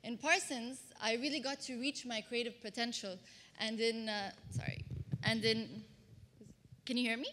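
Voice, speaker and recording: A woman's voice: a little high, normal speed, sharp tone, slight background noise. A woman from Kuwait giving a personal storytelling talk as a public speaker at a conference. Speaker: public speaker, fashion designer. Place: large hall, speaking to an audience. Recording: reverberant hall, amplified stage talk.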